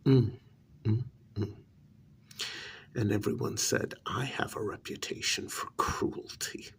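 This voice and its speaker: A man playing a cruel, haughty vampire aristocrat in an ASMR role-play, speaking in a gravelly, mock-sympathetic drawl that often drops to a whisper. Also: fairly low pitch, steady tone, slow pace, a little hiss or hum. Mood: cold menace. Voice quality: gravelly, creaky. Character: cruel, haughty male high-society vampire taunting a captive. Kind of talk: ASMR role-play, intimate dramatic monologue.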